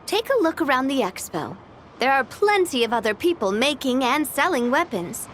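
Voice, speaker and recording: A woman's voice: high-pitched, very animated, moderate pace, fairly bright tone, slight background noise. An actress playing a fair-minded, reassuring young woman official in a video game, explaining things earnestly.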